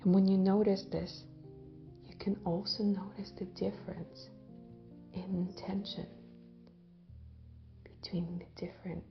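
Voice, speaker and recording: A woman guides a visualization gently and very slowly, leaving long pauses between short phrases. Her voice has a noticeable creak and softens almost to a whisper as phrases end. The recording is slightly dull. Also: mid-range voice, level tone. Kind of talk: guided visualization, soothing hypnotic narration. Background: background music.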